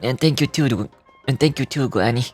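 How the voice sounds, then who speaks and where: Woman putting on a low-voiced polite, earnest boy. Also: fairly quick, a little mellow, soft background music. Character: earnest, very polite young boy, eager to be cool.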